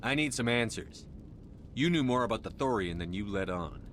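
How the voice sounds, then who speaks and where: An actor playing a composed, pragmatic military commander in a video game. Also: male voice, on the low side, expressive, moderate pace, fairly bright tone, slight background noise. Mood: calm, firm.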